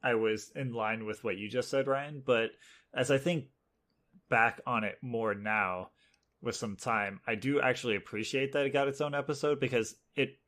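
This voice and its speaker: A man with a medium-pitched voice talks as a podcast co-host. He lays out his points conversationally, as in a relaxed discussion among friends. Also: normal speed. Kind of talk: casual pop culture discussion.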